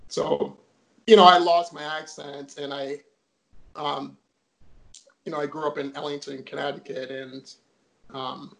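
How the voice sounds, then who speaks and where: A man's voice: fairly high pitch, little pitch movement, unhurried, gravelly, pauses often, poor audio. A young man from Jamaica, a guest in a casual interview, telling his story chattily with many fillers.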